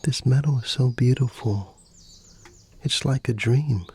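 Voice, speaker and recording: A man voicing a gentle, awed young woman hiker in a bedtime story, with a soft, breathy falsetto. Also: measured pace. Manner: soft, wondering. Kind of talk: ASMR bedtime story character dialogue.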